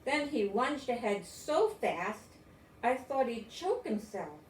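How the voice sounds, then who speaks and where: An older woman from the United States giving an expressive read-aloud of a children's story. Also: on the high side, slow. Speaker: storybook reader. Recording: roomy, distant mic, faint noise.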